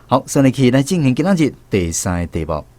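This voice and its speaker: A man's voice: mid-range voice, big pitch swings, fast talker, mellow, no echo, faint music behind. A man with a Taiwanese accent hosting a radio language quiz, speaking to listeners and students.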